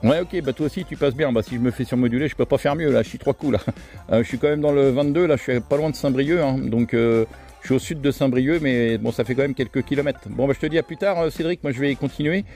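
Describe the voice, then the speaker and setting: A man works radio contacts at a brisk, rattling pace. He talks casually in operator jargon and repeats call codes and signal reports, with light music underneath. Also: medium pitch, warm tone. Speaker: amateur radio operator running contacts from a hilltop.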